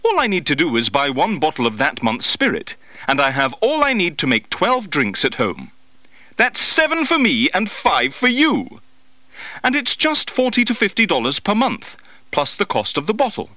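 Animated, theatrically enthusiastic fellow. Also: male voice, fairly high pitch, moderate pace, no room sound, dull audio, heavily acted. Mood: gleeful, excited. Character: excitable middle-class man leaving a playful message for a friend. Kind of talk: in-character scripted advert.

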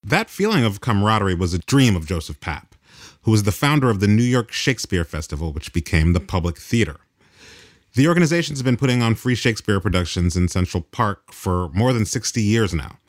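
A man's voice: fairly low pitch, expressive, brisk, dry recording, studio quality. A man hosting and narrating a documentary in scripted narration. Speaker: host and narrator.

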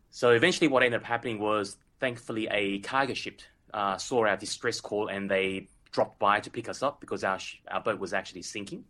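A man in his forties with an Australian accent tells his story as an interview guest. His slightly low voice is bright and forceful, and he speaks earnestly with plenty of filler phrases. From Vietnam. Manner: earnest, leans on "literally" and "so to speak". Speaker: podcast guest.

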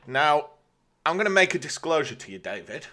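Bright-voiced British man chatting. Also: fairly high pitch, big pitch swings, brisk. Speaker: podcast co-host. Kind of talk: casual chat.

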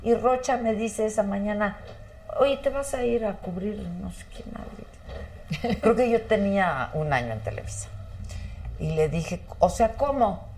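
A woman's voice: medium pitch, lively intonation, a little slow, darkish tone, a little hiss or hum. A Mexican woman in her fifties, the host, chatting frankly with slangy quips and telling a story of her own. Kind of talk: casual chat.

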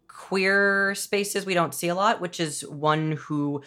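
A woman's voice: on the low side, slow, sharp tone. A woman from the American South hosting a casual podcast chat, earnest and discursive as she thinks aloud. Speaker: podcast host, audio drama showrunner.

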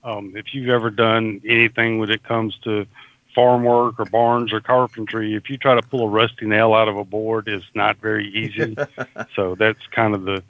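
A man's voice: on the low side, little pitch movement, normal speed, no room sound, phone-quality sound. A man with a Southern US accent co-hosting a podcast, chatting casually in a folksy, joking way with chuckles. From Mississippi, United States. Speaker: podcast co-host. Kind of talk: casual chat.

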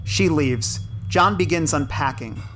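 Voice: medium pitch, measured pace, a bit bright, soft background music. A narrator reading the stage directions of a suspense teleplay as scripted narration.

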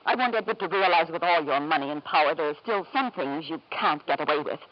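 A woman's voice: sits low, expressive, fast talker, highs cut off. An actress playing a bitter, weary wife and anxious mother in a 1950s radio drama, formal and emotional, on an old broadcast recording. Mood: cold, defiant.

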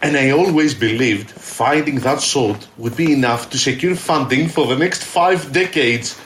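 A man's voice: medium pitch, unhurried, a bit bright, slight background noise, close to an everyday voice. A man playing an earnest, enthusiastic scientist in an audio drama, speaking emphatically. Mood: proud, excited. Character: earnest, awestruck male scientist and excavator. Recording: roomy, echoing sound.

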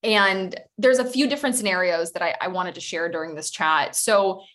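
A woman from Ukraine, a recruitment consultant, giving a conversational presentation over a video call, chatty with small laughs and quick restarts. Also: on the low side, a bit bright.

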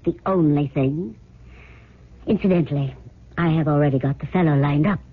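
A woman's voice: deep voice, normal speed, slightly rough. A woman playing a cold, persuasive scheming girlfriend in a 1970s radio drama, insistent and matter-of-fact. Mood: coolly persuasive. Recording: lo-fi phone-like old radio recording.

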